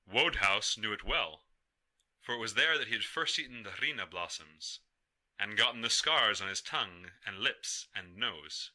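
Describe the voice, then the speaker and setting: A man telling a folktale in a measured, emphatic storyteller's delivery. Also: fairly low pitch, lively intonation, bright, forceful tone. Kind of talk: dramatic folktale narration.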